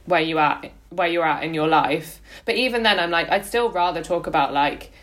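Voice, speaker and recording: A woman's voice: lowish voice, animated, normal speed, fairly bright tone. A woman in her late twenties talking casually as a podcast co-host, feeling her way tentatively through her thoughts. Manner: tentative, conversational, frequently hedging with "like".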